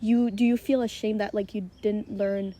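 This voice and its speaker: Hesitant young woman, mellow tone. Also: medium pitch, flat delivery, moderate pace. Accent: American. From Israel. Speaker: podcast co-host. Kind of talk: casual chat.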